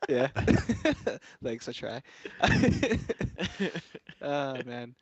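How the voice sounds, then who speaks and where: Young male podcast co-host, giggly, fumbly, mid-pitched voice, dry close sound. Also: wildly swooping pitch, extremely slow, slightly rough, dry recording, highs cut off. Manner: laughs often, fumbles and restarts words. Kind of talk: casual chat among friends.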